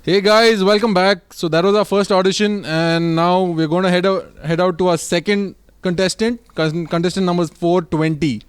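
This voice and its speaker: Smooth, high-voiced young male host. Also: steady tone, normal speed, hardly any pauses, no room sound. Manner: playful, teasing. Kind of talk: casual comedy sketch.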